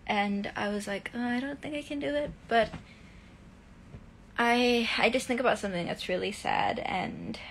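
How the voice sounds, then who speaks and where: Young actress chatting with fans on a phone live stream; gravelly edge, light halting delivery. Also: female voice, on the high side, slight background noise. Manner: reads comments softly, answers with halting restarts. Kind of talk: casual live stream answering fan comments.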